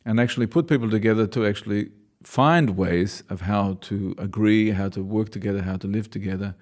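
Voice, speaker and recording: Low-voiced thoughtful man from Australia. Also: brisk, darkish tone. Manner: thoughtful, hesitant, restarts sentences. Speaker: dancer and choreographer, class leader. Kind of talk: reflective interview answers.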